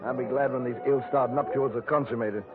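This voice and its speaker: An actor playing a pompous, sly, jovial older colonel with florid wording in a 1940s-style radio detective drama. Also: male voice, mid-range voice, steady tone, moderate pace, natural voice. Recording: tinny old radio broadcast, crowd chatter.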